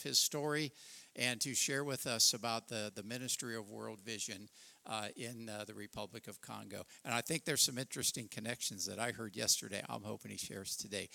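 A man speaking as a church host, giving an informal, halting welcome to introduce a guest speaker. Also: medium pitch, vocal fry, sharp tone, dry recording.

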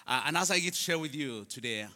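A man's voice: a little high, lively intonation, quick pace, sharp tone. Ugandan-accented man in a reverberant hall. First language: not English; English is his fourth language. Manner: storytelling with self-deprecating humour. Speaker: guest speaker for a charity. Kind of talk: personal testimony to a congregation.

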